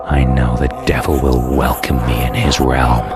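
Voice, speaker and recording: A man's voice: flat delivery, normal speed. An actor playing a damned, vengeful man in a video game, narrating his fate in an intense, brooding, theatrical way. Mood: bitter despair. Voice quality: very deep voice. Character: damned, vengeful male antihero, bitter and doomed, recounting his fate. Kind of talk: dramatic first-person game cutscene narration. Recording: loud dramatic music behind.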